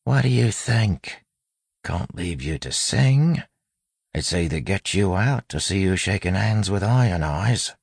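A man voicing a sly impostor posing as a street-tough gang mate, hushed, slangy and conspiratorial, in an audiobook. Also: lowish voice, big pitch swings, slow, husky, no echo, studio quality, pitched above the performer's own voice, exaggerated voice. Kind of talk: audiobook character acting.

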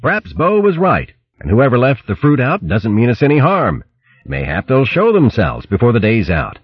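A man's voice: mid-range voice, wildly swooping pitch, normal speed, breathy edge, no echo, lo-fi sound, voice pushed up. A man voicing a trusting, hearty mouse sailor in a storybook, speaking in earnest, plain seafaring talk. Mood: relieved, trusting.

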